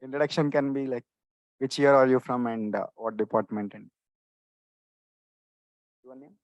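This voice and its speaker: A man's voice: mid-range voice, moderate pace, no echo, muffled recording. A young man hosting a student club meeting, casually welcoming guests onto the stage with friendly encouragement. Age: young adult. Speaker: meeting host.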